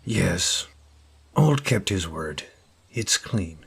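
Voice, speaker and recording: Proud, irritable male boss, acted by a man; deep creaky voice, terse and wary, for audio drama. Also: lively intonation, very slow, full character voice. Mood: curt. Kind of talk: scripted audio drama dialogue.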